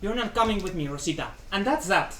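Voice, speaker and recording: A woman's voice: mid-range voice, animated, fast, fairly bright tone, close to an everyday voice. A woman acting a proud, stubborn Cuban husband in a dramatic play reading, emphatic and domineering, with Spanish endearments mixed in. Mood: firm. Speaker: student actor. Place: indoor room, live play reading. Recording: echoey room with faint background noise.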